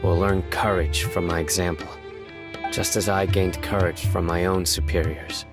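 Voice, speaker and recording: Male actor as dutiful young game lawman, deep voice, brooding, solemn, measured, music behind. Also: fairly even intonation, close to an everyday voice. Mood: earnest, reflective. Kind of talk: scripted video game character dialogue.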